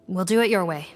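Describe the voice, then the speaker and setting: An actress playing a courteous but skeptical investigator in a video game, speaking in a polite, firm, professional way. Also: female voice, fairly low pitch. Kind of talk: scripted video game voice acting. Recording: background music under the voice.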